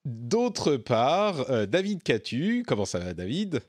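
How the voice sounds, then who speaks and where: Male podcast host, casual and chatty, mid pitch, dry studio sound. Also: sing-song, slow pace, darkish tone, no room sound. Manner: chatty, many fillers and restarts. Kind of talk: casual tech podcast talk.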